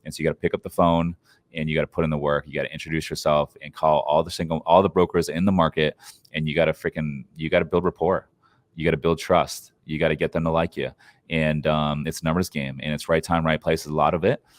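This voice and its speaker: Very deep-voiced man giving investing advice. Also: level tone, fast, no room sound, clean studio sound. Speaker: podcast guest, real estate investor. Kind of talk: conversational interview answers on investing.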